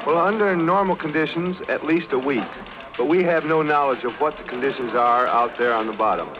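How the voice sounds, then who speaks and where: A man's voice: fairly high pitch, brisk. A man explaining as an expert guest in a formal 1930s radio interview. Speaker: submarine expert being interviewed. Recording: dull lo-fi 1930s radio, music behind.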